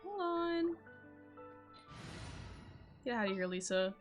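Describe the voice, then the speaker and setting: A woman's voice: sits high, big pitch swings, slow pace, music in the background. A woman livestreamer chatting casually as she plays, rambling informally to herself and her viewers. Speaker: game livestreamer. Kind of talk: casual chat while playing.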